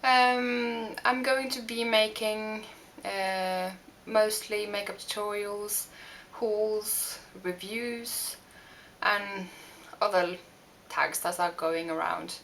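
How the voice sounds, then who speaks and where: A young woman from Norway with a Norwegian accent, talking through a makeup tutorial with many pauses and restarts. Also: medium pitch, steady tone, fairly bright tone, slight background noise. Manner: hesitant, many fillers and restarts. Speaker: beauty vlogger. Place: home room.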